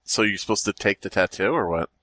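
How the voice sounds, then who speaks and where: A man's voice: on the low side, fast, bright, forceful tone, dry recording. A man hosting a podcast, riffing crudely and exclaiming in casual joking group chat. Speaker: podcast host.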